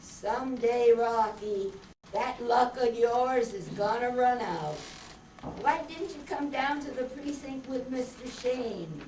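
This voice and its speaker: A woman as slow, exasperated police sergeant, echoey. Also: a little high, animated, a little mellow. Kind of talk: amateur old-time radio play acting. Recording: echoey, distant, noisy room recording.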